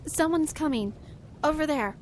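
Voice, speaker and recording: A woman voicing a plucky, quick-thinking young woman in an audio drama. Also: sits high, slight background noise, natural voice.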